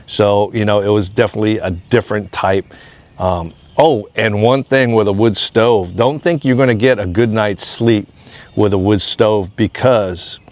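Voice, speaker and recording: An American man from Hawaii giving a casual, unscripted homesteading update in a plain-spoken, rambling way. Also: on the low side. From Hawaii, United States. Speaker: off-grid homesteading vlogger.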